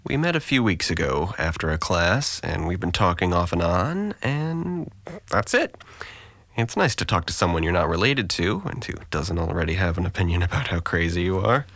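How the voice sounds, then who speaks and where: A man's voice: low-pitched, big pitch swings, moderate pace, vocal fry, fairly bright tone, dry recording, heavily acted. An actor playing a sarcastic, guarded, depressed young man with dry wit and an articulate, deflecting manner in an audio drama.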